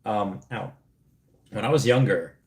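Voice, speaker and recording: A man's voice: lowish voice, measured pace, darkish tone. Rambling man in an echoey room. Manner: rambling, many restarts, laughs at comments. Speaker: streamer host. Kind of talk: casual livestream chat reading comments.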